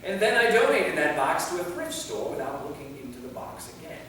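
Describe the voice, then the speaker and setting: A man's voice: on the high side, sing-song, fairly quick, fairly bright tone. Echoey, exasperated immortal vampire played by a man. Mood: earnest, flustered. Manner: weary, put-upon, easily exasperated. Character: exasperated immortal male vampire from the Middle Ages. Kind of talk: staged reading of a comedy play. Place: theater, recorded from a distance. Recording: echoey hall, far mic, faint noise.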